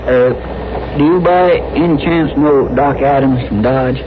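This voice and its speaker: An actor playing a polite, nervous, folksy cowboy sidekick with a hesitant drawl in a radio western. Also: male voice, medium pitch, measured pace, lightly acted. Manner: drawling, hesitant, stammering, deferential. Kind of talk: old-time radio western acting. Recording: tinny, noisy vintage radio recording.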